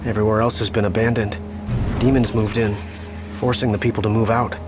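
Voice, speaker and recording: A man's voice: fairly low pitch, little pitch movement, a little fast, close to an everyday voice. An actor playing an earnest young man, chatty and deferential toward his king, in a video game cutscene. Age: young adult. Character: earnest, respectful young driver loyal to a returning king. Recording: music under studio dialogue. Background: game music.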